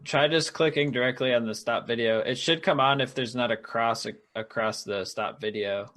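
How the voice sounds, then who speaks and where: A man's voice: medium pitch, moderate pace, a bit bright. A man patiently walking someone through video-call settings in a casual chat. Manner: patient, explaining step by step.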